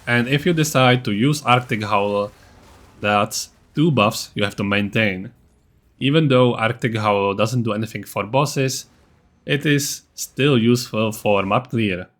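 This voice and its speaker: A man with a mid-pitched voice narrates a game build guide as a video creator. His delivery is scripted and matter-of-fact. He sounds a little blunt and self-deprecating as he explains things. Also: fairly bright tone.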